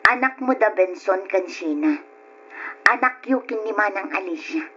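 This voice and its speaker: An actress with a northern Philippine accent playing a concerned, coaxing aunt in a radio drama. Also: female voice, medium pitch, expressive, moderate pace, a bit bright, slightly rough. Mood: concerned, reasoning. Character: concerned, reasoning aunt standing up for her nephew. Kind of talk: Ilocano radio drama acting. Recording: dull lo-fi old radio.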